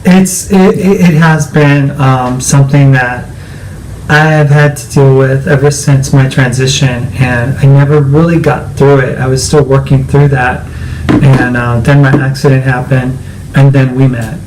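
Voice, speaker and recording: A man's voice: mid-range voice, fairly even intonation, unhurried, noisy background. A man co-hosting a personal conversation, talking reflectively and often searching for his words. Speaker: co-host.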